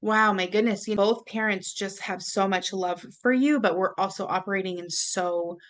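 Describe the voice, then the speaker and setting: A woman's voice: mid-range voice, fairly even intonation, normal speed. A woman hosting a podcast interview, affirming her guest with empathy and asking follow-up questions. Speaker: podcast host.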